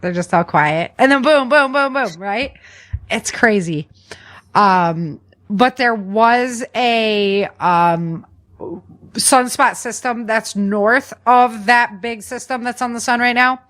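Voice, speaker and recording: A woman's voice: fairly high pitch, slow, fairly bright tone. Casual, emphatic woman podcast host. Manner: informal, conversational, emphatic repetition. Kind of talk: casual podcast chat and news rundown.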